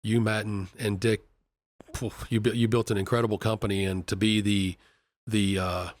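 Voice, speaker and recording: An earnest executive from Kentucky talks in a casual podcast interview, his voice sitting a little low. He often trips over his words and restarts his sentences. The sound is dry and close, with no room echo. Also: flat delivery, normal speed. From Kentucky, USA. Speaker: company executive vice president. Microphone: studio podcast mic.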